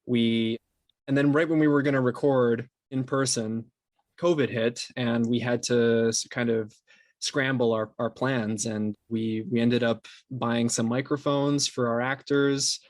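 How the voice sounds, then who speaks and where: A man being interviewed as a podcast producer, explaining things conversationally in a halting way with many restarts. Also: medium pitch, steady tone, no echo.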